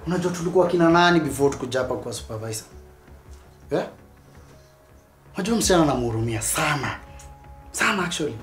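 A woman's voice: low-pitched, expressive, fast, pauses often. An actress playing a tough, mocking young woman enforcer with a Kenyan accent. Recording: roomy room, faint music.

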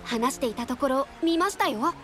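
High-voiced, starry-eyed young admirer. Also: female voice, animated, normal speed, slight background noise. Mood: admiring. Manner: polite, gushing, earnest. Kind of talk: Japanese video game voice acting. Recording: loud music behind the voice.

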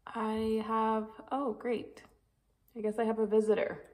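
A woman's voice: a little high, flat delivery, measured pace, a little mellow. A young woman talking casually into her phone camera, rambling about her day with frequent ums. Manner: chatty, rambling, lots of ums. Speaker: vlogger. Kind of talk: casual day-in-the-life vlog. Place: inside a parked car, then a home kitchen. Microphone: phone camera at arm's length.

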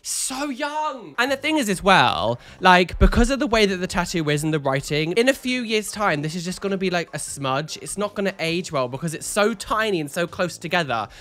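A man's voice: high voice, normal speed, few pauses. A man in his thirties, congested and nasal from a cold, giving camp, chatty reaction commentary from a home studio at a close mic. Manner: animated, sassy, dramatic exclamations. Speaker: YouTuber reacting to photos. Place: home studio room with neon lights. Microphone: studio mic on a boom arm, close.

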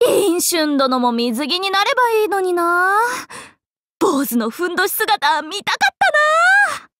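A woman voicing a playful, cheerful young swordswoman in an anime mobile game, breezy, expressive and teasing like a big sister. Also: extremely high pitch, unhurried, no room sound, heavily acted. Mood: surprised, chatty. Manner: breezy, expressive, teasing, casual with sudden formal turns.

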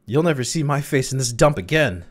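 A man's voice: lowish voice, sing-song, normal speed, a little mellow, voice pushed up. A man voicing a timid, meek thirty-year-old clerk in a storybook reading, hesitant, quavering and earnest. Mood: resentful, defeated.

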